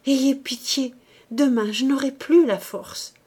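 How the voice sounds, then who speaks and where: A woman's voice: mid-range voice, measured pace, a little mellow, lightly acted. A woman voicing a distraught, guilt-ridden married woman who pleads and confesses feverishly, in dramatic audiobook style. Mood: pleading. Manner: pleading, exalted, breaking into whispers. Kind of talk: dramatic audiobook character acting.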